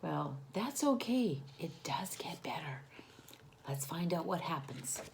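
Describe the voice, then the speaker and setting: A woman teacher reads a picture book aloud to young children. Her voice sits a little low and moves at a very slow pace, with big swings in pitch. She speaks to the children directly, encouraging them and putting questions to them. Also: big pitch swings, creaky, slight background noise.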